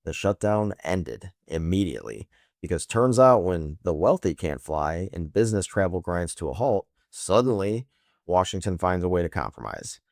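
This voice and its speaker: A man's voice: low-pitched, sing-song, normal speed, gravelly, darkish tone, no echo, studio quality. A man hosting scripted political commentary in a sarcastic, emphatic manner full of rhetorical questions. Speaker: host of a political news commentary show.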